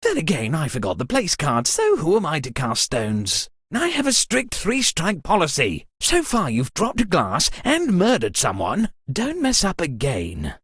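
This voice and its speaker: A man's voice: fairly high pitch, wildly swooping pitch, measured pace, hardly any pauses, fairly bright tone, husky, dry recording, clean studio sound, heavily acted. A man voicing a pompous, flippant wealthy lord hosting a murder-mystery party in a comedic video game, with theatrical, mock-genteel delivery. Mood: mildly scolding.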